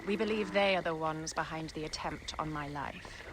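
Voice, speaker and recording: An actress playing a regal, calculating Egyptian queen in a game, composed, deliberate and commanding. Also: sits low, background noise, lightly acted. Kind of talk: video game cutscene acting.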